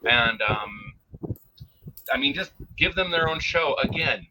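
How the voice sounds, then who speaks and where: A man's voice: mid-range voice, lively intonation, measured pace, pushed and bright, a little hiss or hum, low-quality recording. A man giving emphatic opinions as a guest in a casual panel chat on a group call.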